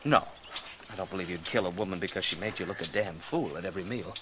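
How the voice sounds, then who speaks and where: Wry, blunt cynic in radio drama, sharp-edged lowish voice, slight background noise. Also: male voice, fast. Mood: blunt, sardonic. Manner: blunt, sardonic, offhand. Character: blunt, cynical, wry male expedition member.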